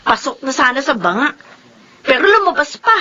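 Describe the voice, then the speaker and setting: Scheming temptress, radio melodrama, high-pitched, brazen, quick-tempered, muffled audio. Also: female voice, sing-song, pushed and bright, exaggerated voice. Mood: irritated, scheming. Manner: coquettish and combative. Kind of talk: melodramatic radio drama acting.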